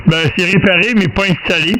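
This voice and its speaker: This is a man from Quebec with a Quebec French accent and a voice a bit on the high side. He chats casually and colloquially as a radio amateur, wandering as he goes. The recording has clear radio noise. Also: steady tone, a little fast. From Quebec, Canada. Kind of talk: casual radio contact chat.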